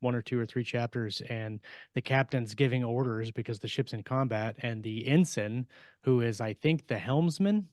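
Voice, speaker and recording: A man's voice: mid-range voice, normal speed, darkish tone, no room sound, clean studio sound. A man talking casually as a co-host in a podcast panel discussion.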